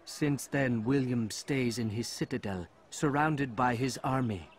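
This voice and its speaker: An actor playing a smug, scornful assassin informant in a video game, with a taunting, condescending manner. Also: male voice, mid-range voice, a little slow, slight background noise, close to an everyday voice. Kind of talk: video game cutscene acting.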